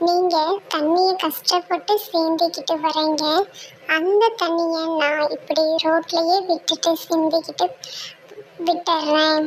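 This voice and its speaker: A woman as a soft, high, sorrowful cracked pot. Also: level tone, unhurried, slight background noise, rough recording. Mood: sad pleading. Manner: childlike, pleading, hesitant. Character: sad, humble cracked clay pot, feeling worthless. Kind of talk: children's moral story acting.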